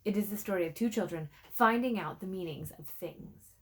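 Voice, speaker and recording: A woman reading a storybook aloud in an expressive, dramatic way, letting her phrases drop into a hush. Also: medium pitch, brisk, low-quality recording. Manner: dramatic, with hushed phrase endings. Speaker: storybook reader. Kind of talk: expressive read-aloud narration.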